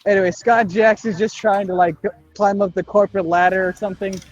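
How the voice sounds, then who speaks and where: A man's voice: high-pitched, fairly even intonation, fairly quick, dull audio, faint music behind. A young man co-hosting a podcast in casual, improvised banter, joking and swearing and acting out bits of dialogue. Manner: jokey, profane, acts out bits of dialogue. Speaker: podcast co-host.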